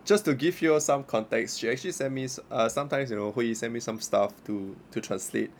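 A man's voice: medium pitch, fast, a little hiss or hum. A young adult man with a Singaporean accent, co-hosting a podcast in casual chat, rambling with many fillers and joking around. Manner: rambling, filler-heavy, playful. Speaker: podcast co-host.

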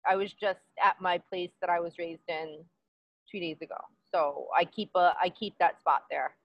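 Chatty woman from Queens, relaxed and casual. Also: fairly low pitch, moderate pace, muffled recording. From Queens, New York. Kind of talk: casual interview.

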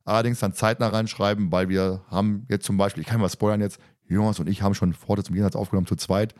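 A man's voice: fairly low pitch, fairly even intonation, fast, a little mellow, no room sound, studio quality. A man hosting a casual podcast, chatting and making announcements in a joking, teasing, talkative way. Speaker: podcast host and moderator.

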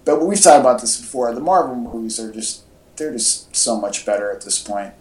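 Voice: medium pitch, expressive, brisk, a little mellow. A podcast co-host chatting casually and giving opinions about movies, rambling and often restarting phrases. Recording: echoey, distant-sounding home podcast audio.

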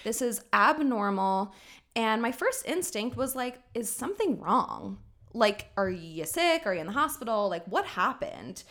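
A woman co-hosting a casual podcast, telling a story in an animated, chatty way with casual swearing. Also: a little high, measured pace. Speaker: podcast co-host.

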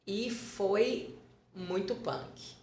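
A woman's voice: medium pitch, drawn-out words, darkish tone, echoey room, slight background noise. A woman with a Brazilian Portuguese accent, a dance school founder and teacher, telling stories in a casual podcast interview and repeating words for emphasis.

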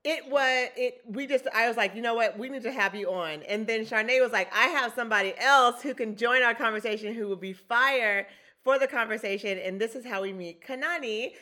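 Bright-voiced chatty woman podcast host. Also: a little high, fairly quick. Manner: chatty, effusive, frequent restarts. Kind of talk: casual podcast conversation.

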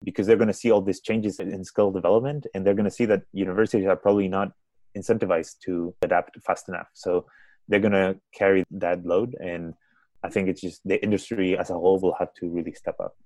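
Deep-voiced man with a Spanish accent. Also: steady tone, fast, a little mellow, no room sound. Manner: thoughtful, restarts words often. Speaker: podcast guest, startup founder. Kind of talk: conversational interview answers.

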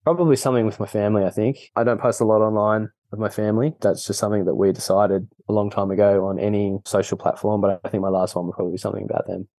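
An Australian man talks as a relaxed interview guest, often laughing and calling people mate. His voice is low and mellow, and the sound is dry and close. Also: rapid-fire, hardly any pauses, dry recording. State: injured foot, on painkillers. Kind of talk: casual interview chat.

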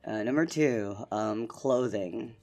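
Young filipino guy hosting a chill podcast, low gravelly voice, faint music behind. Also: takes it slowly. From Philippines. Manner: relaxed, rambling, frequent fillers. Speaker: podcast host. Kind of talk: casual solo podcast talk.

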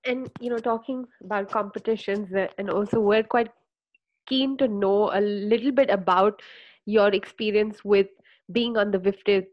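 A woman co-hosting an interview, asking long questions full of fillers and pauses. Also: mid-range voice, fairly even intonation, no echo, highs cut off. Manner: hesitant questioner with many fillers. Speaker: co-host. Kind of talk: casual interview over a call.

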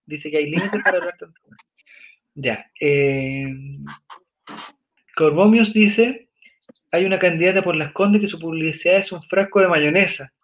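A man's voice: high voice, moderate pace, pauses often, highs cut off. A man with a Chilean accent hosting a casual chat, rambling and joking in slang while he reads viewer comments. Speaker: podcast host.

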